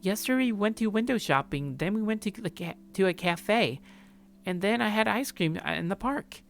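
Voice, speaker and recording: Man putting on a high, cheerful young girlfriend voice. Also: expressive, moderate pace, vocal fry, slight background noise. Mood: cheerful, pleading. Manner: eager, sweetly pleading. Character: cheerful, friendly young woman, the narrator's girlfriend.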